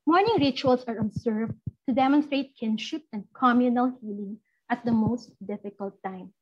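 A woman from the Philippines with a Filipino accent and husky voice, reading a scripted presentation over lo-fi call audio. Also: on the high side, normal speed. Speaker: panel presenter. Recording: dull lo-fi video call audio.

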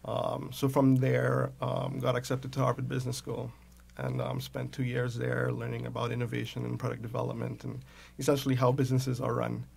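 Gravelly flat-voiced man from Trinidad. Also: mid-range voice, fairly quick. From Trinidad and Tobago. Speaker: guest, entrepreneur. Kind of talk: radio interview. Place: small padded radio studio. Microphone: studio mic with pop filter.

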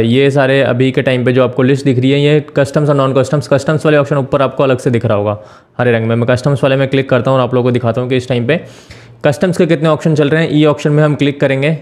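A man talking through a screen-share tutorial as the channel host, explaining step by step with frequent filler pauses. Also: medium pitch, flat delivery, a little mellow.

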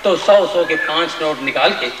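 A man's voice: on the high side, moderate pace. A middle-aged man doing stand-up, telling a comic story casually into a stand mic on a large stage at night. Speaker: stand-up comedian. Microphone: stand mic, far camera. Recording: echoey distant live stage recording, noisy.

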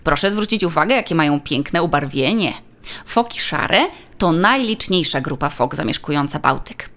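A woman's voice: deep voice, lively intonation, normal speed, fairly bright tone, pitched above the performer's own voice. A woman voicing a helpful, polite female tour guide who explains things in a children's audiobook. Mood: informative.

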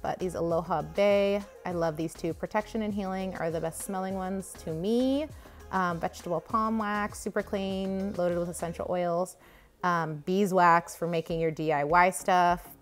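Lady doing a casual store haul, lowish voice, gushy about stuff, faint music and store noise behind. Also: steady tone, normal speed. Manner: enthusiastic, gushing over products. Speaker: vlogger giving a grocery haul tour. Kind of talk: casual chatty shopping vlog. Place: inside a grocery store, walking the aisles. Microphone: handheld phone behind the camera.

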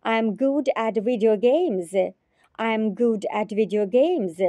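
A woman teacher repeating short phrases slowly and carefully in a repetition drill. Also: a little high, mellow, dry recording. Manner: repeats each phrase three times, carefully.

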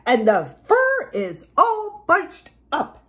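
A middle-sized mama bear from a storybook, put on by a woman. She is huffy and indignant, exclaiming in a high voice that swings up and down. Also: wildly swooping pitch, slow pace, fairly bright tone, heavily acted.

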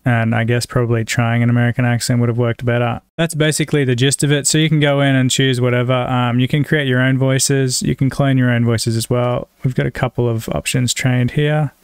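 Aussie guy doing a casual software walkthrough, clear smooth voice, really dry sound. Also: mid-range voice, steady tone, brisk, hardly any pauses, no room sound. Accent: Australian. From Australia. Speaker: tutorial presenter. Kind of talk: casual screen-recorded software tutorial.

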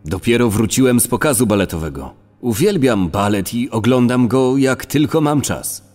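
A man's voice: mid-range voice, expressive, normal speed, darkish tone, lightly acted. A man playing an evasive, defensive middle-aged murder suspect in a true crime podcast reenactment. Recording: long reverb, music behind.

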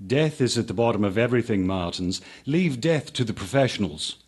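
An actor playing a cool, authoritative military police major with a clipped, dry manner in a radio drama. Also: male voice, mid-range voice, animated, a little fast, darkish tone, slightly rough. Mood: stern, dry.

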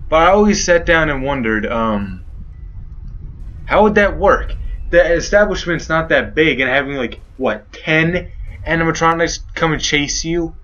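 A man's voice: a little high, fairly bright tone, lo-fi sound. A young man doing rambling, joking commentary while playing a horror game. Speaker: gaming youtuber. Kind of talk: let's play commentary.

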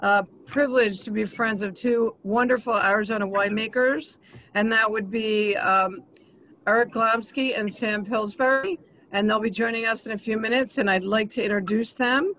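A woman who organizes the trip, hosting the webinar in a halting, hesitant way. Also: fairly high pitch, steady tone, fairly bright tone, slight background noise, low-quality recording. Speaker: travel agent and organizer.

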